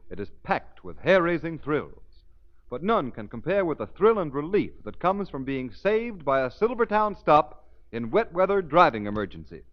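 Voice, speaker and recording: A man with a fairly high, very animated voice announces in a formal, emphatic 1930s radio style. The recording is muffled and vintage, with a little music.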